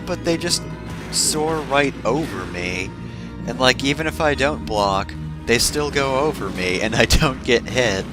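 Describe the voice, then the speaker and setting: A man giving casual, rambling video game commentary as a let's-play host, with wry, sarcastic asides and frequent digressions. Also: mid-range voice.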